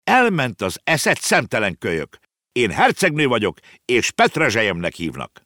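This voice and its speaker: A man voicing a feisty, indignant little girl in a children's storybook, loud and quick to get upset. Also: medium pitch, wildly swooping pitch, no room sound, clean studio sound, voice pushed up. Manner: indignant, loud, tearful outbursts.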